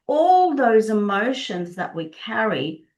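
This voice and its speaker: A woman's voice: a little high, big pitch swings, slow, darkish tone. A middle-aged woman from Prague, a native Czech speaker, explaining their work earnestly and enthusiastically as an interview guest. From Prague, Czech Republic. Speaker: Body Code practitioner, interview guest.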